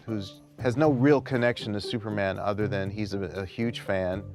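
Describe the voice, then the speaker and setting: A man's voice: on the low side, steady tone, moderate pace, darkish tone. A man talking in interview style about making a film, as one of its filmmakers. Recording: music behind.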